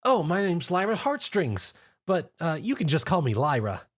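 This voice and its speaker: A man voicing an excitable, gushing young female pony in an animated storybook reading. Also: on the high side, normal speed, pitched above the performer's own voice. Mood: giddy excitement. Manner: gushing, eager. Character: excitable, apologetic young female pony, giddy human enthusiast.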